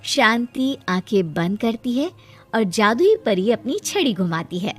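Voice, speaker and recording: A woman's voice: fairly high pitch, big pitch swings, measured pace. A woman reading scripted storybook narration for a cartoon moral tale. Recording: music behind the voice.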